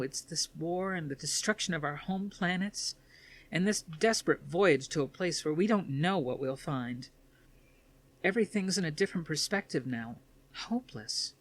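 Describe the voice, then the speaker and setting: Man voicing an agitated, high-pitched young woman. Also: a bit bright. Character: agitated, anguished young woman, commander's daughter.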